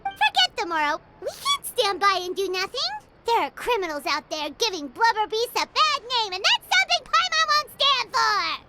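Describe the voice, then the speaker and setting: A woman voicing a small, excitable, outspoken fairy companion in a video game, with a squeaky childlike voice and an emphatic manner. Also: moderate pace, heavy background noise. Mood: righteous. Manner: speaks of self in third person, emphatic. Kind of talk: video game character dialogue. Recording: loud music under the voice. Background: game background music.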